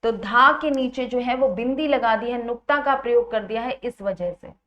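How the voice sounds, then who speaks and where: A woman's voice: on the high side, normal speed, fairly bright tone. A woman teaching an exam-prep grammar lesson in an instructional way, asking students questions and repeating key points. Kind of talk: Hindi grammar lesson, explaining quiz questions.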